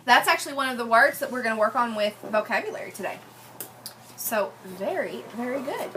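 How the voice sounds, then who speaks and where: A woman's voice: fairly high pitch, animated, a little fast, sharp tone, slight background noise, poor audio. A woman, a teacher reading a picture book to young children, asking questions and repeating their answers. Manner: often repeats children's answers back, prompting them with questions. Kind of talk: interactive read-aloud lesson with questions and explanations.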